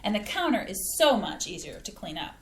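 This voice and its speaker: A woman's voice: medium pitch, very animated, fairly quick, roomy sound, slight background noise, natural voice. A woman reading the part of a cheerful mother who proudly explains her home recipes in a chatty, folksy way, in a community staged reading. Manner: chatty, folksy, eager to please. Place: small community playhouse stage.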